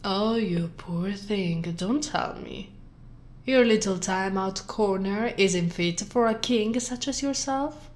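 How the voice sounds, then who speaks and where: A woman from Italy playing a smug, flirtatious sheriff in an ASMR roleplay, with sultry, teasing delivery that drops into breathy whispers. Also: medium pitch, slow, a little mellow, close to an everyday voice. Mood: sarcastic, taunting. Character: teasing, smug, flirtatious female sheriff gloating over captive. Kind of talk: ASMR roleplay, scripted acting.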